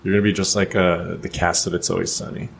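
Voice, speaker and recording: A man's voice: low-pitched, level tone, fast talker, creaky, slight background noise. A man co-hosting a podcast, chatting in an enthusiastic, teasing way. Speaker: podcast co-host. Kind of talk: casual chat.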